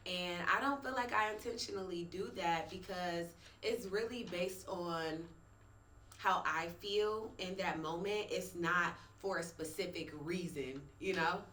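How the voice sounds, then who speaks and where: A woman's voice: on the low side, unhurried, fairly bright tone, roomy sound, a little hiss or hum. A woman speaking as a guest in a casual panel chat, blunt and boastful in how she talks.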